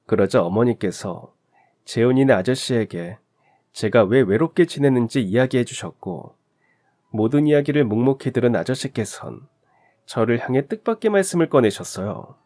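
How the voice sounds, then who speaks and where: A man's voice: mid-range voice, lively intonation, darkish tone. Man narrating a heartfelt story. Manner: emotive, measured storytelling.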